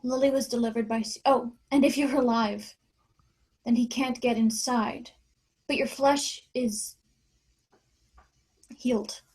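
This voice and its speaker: A woman's voice: on the high side, steady tone, a little slow, long pauses, darkish tone. Woman acting a shaken, determined mother. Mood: shaken, insistent. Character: Sue, shaken, determined mother hunting for her daughter. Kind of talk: screenplay table read acting.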